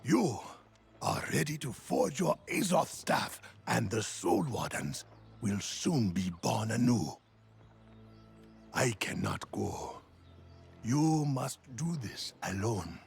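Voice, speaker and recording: A wise old mentor of an ancient order in a fantasy game. He speaks slowly and solemnly, with weighty pauses and a creaky, weathered edge. His pitch rises and falls expressively over soft music. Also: very animated, full character voice. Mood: solemn, urgent. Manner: measured, deliberate, solemn, with pauses. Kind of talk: dramatic fantasy game voice acting. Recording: music behind the voice.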